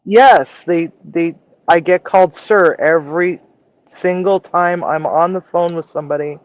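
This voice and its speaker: A woman with a low voice, chatting frankly and casually as a regular on a livestream. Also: unhurried, muffled recording. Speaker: chef and regular co-host.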